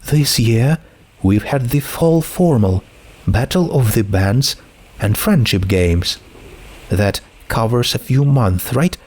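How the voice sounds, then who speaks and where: Anxious teen girl, read by a man, storybook drama; unhurried, halting, emotionally exposed. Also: mid-range voice, lively intonation, a little mellow, husky, voice pushed up. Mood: insistent, uneasy. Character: troubled, anxious teenage girl confiding a secret. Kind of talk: dramatic fan fiction reading.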